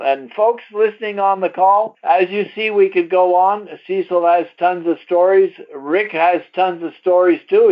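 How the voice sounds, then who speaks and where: A man hosting a testimonial call in an informal, folksy, exhorting way, like someone making a plain-spoken pitch to listeners. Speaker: host of a testimonial conference call. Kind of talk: informal promotional talk. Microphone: telephone. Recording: dull lo-fi conference phone line.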